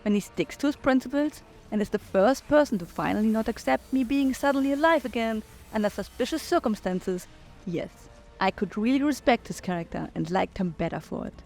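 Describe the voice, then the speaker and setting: A woman's voice: fairly high pitch, animated, brisk, darkish tone, soft background music. A woman giving her opinions in commentary on a video game playthrough.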